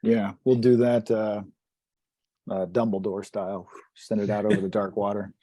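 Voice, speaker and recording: A man's voice: lowish voice, flat delivery, moderate pace, warm tone, no echo. A man chatting casually as a player in a tabletop roleplaying game.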